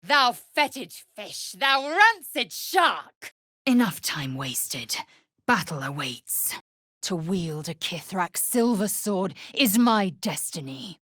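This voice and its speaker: A woman's voice: mid-range voice, very animated, slow pace, a bit bright, breathy edge, no room sound, clean studio sound, exaggerated voice. A woman playing a fierce, proud alien warrior in a video game, haughty and clipped, with formal, disdainful phrasing. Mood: fierce battle cries. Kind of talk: video game character voice lines.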